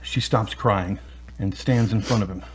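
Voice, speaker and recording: A man's voice: fairly low pitch, a little slow, poor audio. A man reading scripted narration that sets out the action of an audio drama. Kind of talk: scripted narration of stage directions.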